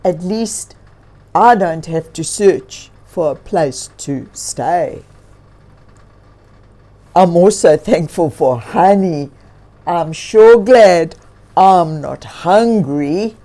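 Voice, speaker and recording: A woman voicing a lonely, grateful male grizzly bear in a children's storybook, speaking slowly with earnest emphasis. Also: lowish voice, animated, dark tone, heavily acted. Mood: thoughtful, thankful.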